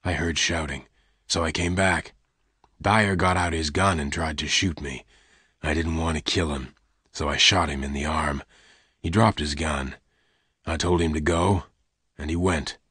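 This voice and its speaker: Guy doing a low hushed gunslinger, calm and hard, short blunt lines. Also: a little slow, pauses often, slightly rough, no echo, clean studio sound, full character voice. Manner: low, hushed, clipped speech. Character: calm, hard male gunfighter, quiet and blunt.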